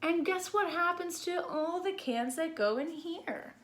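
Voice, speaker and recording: A woman's voice: very high-pitched, a little slow, fairly bright tone, pitched above the performer's own voice. A woman voicing a patient male schoolteacher in a children's storybook, explaining things and prompting with questions. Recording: roomy echo with background noise.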